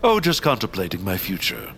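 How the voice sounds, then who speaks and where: A man's voice: sits low, wildly swooping pitch, a little fast, gravelly, fairly bright tone, a little hiss or hum, exaggerated voice. A greedy land baron, grandiose and theatrical, with swooping intonation. He is pompous and sorry for himself, a comic villain of audio drama who savours his words. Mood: brooding, grandiose. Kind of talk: scripted audio comedy drama.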